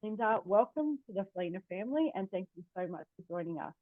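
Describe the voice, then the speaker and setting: A woman's voice: medium pitch, lively intonation, fast, no room sound. Woman hosting an online webinar, tinny sound. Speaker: webinar host. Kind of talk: scripted introduction. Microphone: video call. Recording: tinny, dry phone-like audio.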